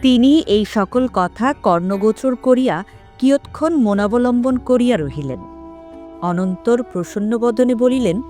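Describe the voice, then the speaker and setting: A woman narrating a literary story for an audio drama in a formal, measured way. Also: a little high, lively intonation, warm tone, faint music behind.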